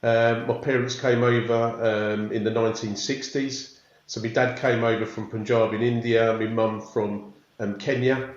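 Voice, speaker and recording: A man's voice: medium pitch, level tone, a little slow. A man in his forties from England, friendly and proud as he talks about his family and interests as a podcast guest. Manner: friendly, proud, self-deprecating. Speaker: podcast guest, business leader. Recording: very echoey room, dull audio.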